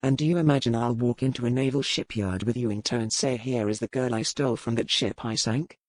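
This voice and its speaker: A woman voicing a formal, resigned male pirate captain in an audiobook, speaking with measured gravity. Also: extremely low pitch, a little mellow, dry recording, pitched below the performer's own voice. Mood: firm, grave. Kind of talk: dramatic audiobook character reading.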